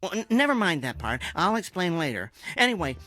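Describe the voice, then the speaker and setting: Eccentric American husband, very animated. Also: male voice, sits high, fairly quick, full character voice. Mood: eager, scheming. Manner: earnest, explaining, pleading. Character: eccentric, earnest, geeky husband and basement inventor, eager schemer. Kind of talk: audio drama acting.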